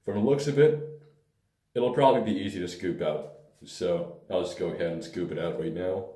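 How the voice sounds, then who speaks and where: A man with a hoarse, tired voice talks through a hair product review in a loose, rambling way. His voice sits very low and sounds dark and mellow. He is recorded from some distance in an echoey room. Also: normal speed. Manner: relaxed, rambling, hesitant fillers. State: hoarse, lost voice. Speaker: pomade reviewer.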